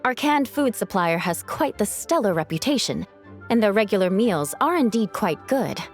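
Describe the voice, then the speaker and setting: A woman acts out a young female knight captain from a video game, composed and proud. She speaks in measured, formal phrases at a medium pitch, with a dry, wry edge. Music plays behind her voice. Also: animated, close to an everyday voice. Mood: matter-of-fact. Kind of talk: video game character dialogue. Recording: studio voice over game music.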